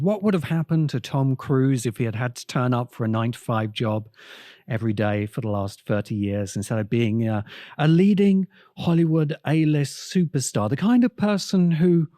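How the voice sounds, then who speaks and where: A man delivering essay-like commentary as a podcast host, measured and deliberate, pausing dramatically in the middle of sentences. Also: mid-range voice, warm tone, no echo, studio quality. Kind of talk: essay-like scripted commentary on science fiction.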